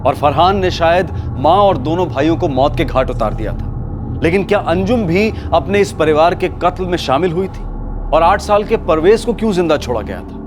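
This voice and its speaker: A man's voice: a little high, animated, normal speed, background noise. A man narrating a crime show as host, in dramatic scripted narration.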